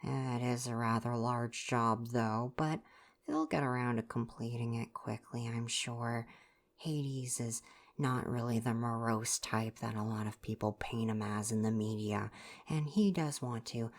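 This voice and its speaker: An eccentric, absent-minded healer god of ancient Greece, acted by a woman in an ASMR roleplay. The voice is very deep. The talk is politely formal, then wanders off into chatty, casual musing. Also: fairly even intonation, measured pace, heavily acted. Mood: chatty, upbeat. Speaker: doctor.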